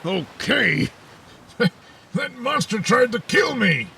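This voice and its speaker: A man's voice: very high-pitched, big pitch swings, drawn-out words, vocal fry, pushed and bright, exaggerated voice. A man playing a pompous, hapless armored superhero in a comic audio serial, boastful and melodramatic, easily exasperated, recorded distantly with noise behind him. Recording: distant, roomy sound with background noise.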